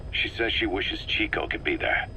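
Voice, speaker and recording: Casting: driven game officer, middle-aged, low but forceful and tense, clipped briefing delivery, faint tape noise. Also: male voice, expressive, moderate pace, exaggerated voice. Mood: somber, reflective. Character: bitter, driven military second-in-command, vengeful and intense. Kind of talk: video game voice acting. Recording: faintly noisy cassette tape recording.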